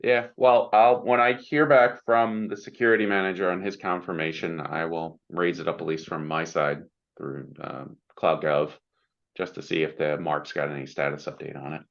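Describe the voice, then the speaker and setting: A man's voice: low voice, flat delivery, moderate pace, vocal fry, fairly bright tone. A man giving his input in a work meeting over an online call. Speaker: committee member in a technical meeting. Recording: muffled, dull call audio.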